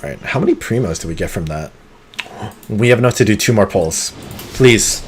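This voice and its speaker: A man streaming a game live, talking through it in an excitable, competitive way, with sudden gasps and pleading. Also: fairly low pitch, normal speed, a little hiss or hum. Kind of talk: live gameplay commentary.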